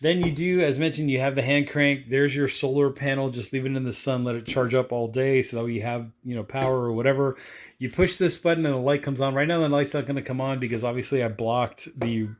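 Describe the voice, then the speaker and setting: A man with a mid-pitched voice talks through a product demo as if chatting off the cuff. He rambles in an offhand, casual way, dropping in little tag questions and stock phrases as he goes. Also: steady tone, fairly quick, slight background noise. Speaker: product reviewer. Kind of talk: casual unscripted product demonstration.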